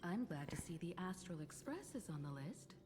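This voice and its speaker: Courteous, expressive young-crew heroine. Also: female voice, fairly low pitch, moderate pace, over music. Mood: polite, reserved. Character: courteous, modest, composed train crew member. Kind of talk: scripted video game dialogue.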